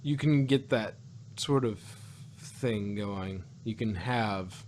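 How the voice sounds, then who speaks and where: A man hosting a casual review chat, halting and rephrasing as he thinks out loud. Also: on the low side, a little hiss or hum. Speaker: audio drama review podcast host.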